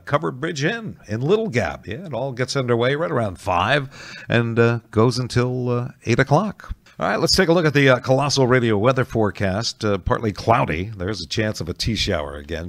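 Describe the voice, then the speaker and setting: A man hosting an oldies radio show, chatting casually and folksily between songs with frequent pauses. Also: mid-range voice, fairly bright tone. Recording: music playing under the voice.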